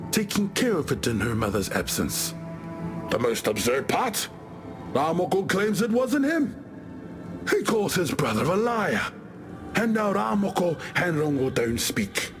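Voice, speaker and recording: Easygoing warrior chieftain, video game character, very animated intonation, slightly high male pitch, wry storyteller, music behind. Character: easygoing warrior chieftain of an island tribe, dead in afterlife.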